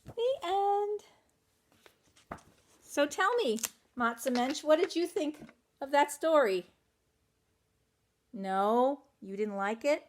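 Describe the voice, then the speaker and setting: A woman reading a children's picture book aloud in an animated way, stopping to ask listeners questions. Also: sits high, takes it slowly, pauses often. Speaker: children's storytelling teacher.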